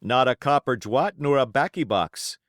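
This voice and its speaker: A man voicing a bold, curious pirate sailor with rough dialect in an audiobook. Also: mid-range voice, expressive, normal speed, a bit bright, dry recording, clean studio sound, pitched above the performer's own voice, lightly acted. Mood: suspicious. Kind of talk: audiobook character voice.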